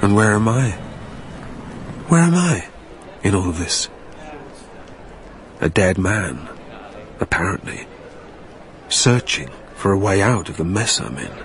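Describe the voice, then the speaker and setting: A man's voice: low-pitched, big pitch swings, slow pace, pauses often, a bit bright, slight background noise, heavily acted. A man playing a wistful, haunted dead man, narrating a radio drama in a literary, brooding way. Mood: lost, brooding. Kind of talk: dramatic first-person scripted narration.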